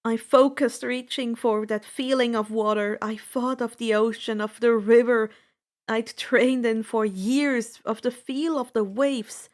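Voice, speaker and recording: A woman reading a first-person adventure story aloud as an expressive, dramatic narrator. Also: fairly high pitch, a little slow. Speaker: audiobook-style fan fiction reader.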